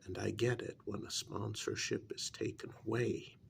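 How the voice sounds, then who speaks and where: Man playing a vampire court confidant, soft ASMR role-play, heavy vocal fry, lowish pitch, whispery dips, measured and hesitant. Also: little pitch movement, slight background noise. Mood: tentative, earnest. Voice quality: soft, breathy voice dropping into whispers. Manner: hesitant, measured, gently reasoning.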